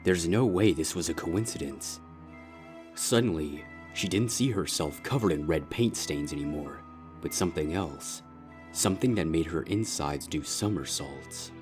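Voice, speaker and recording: A man with a deep voice narrates dramatic fiction. He reads scripted prose in the narrator's role, with music playing underneath. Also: animated, normal speed, darkish tone.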